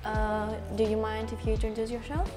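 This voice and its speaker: A young woman hosting and introducing a guest in a student show, slightly halting with "uh". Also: fairly high pitch, monotone, big-room sound, over music. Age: young adult. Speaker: show host interviewer. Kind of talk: studio interview introduction.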